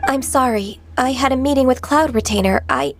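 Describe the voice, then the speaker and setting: An actress playing a gentle, timid young woman who speaks softly and politely, over background music. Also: high voice, normal speed, lightly acted. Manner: soft, polite, hesitant. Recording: game audio with music behind.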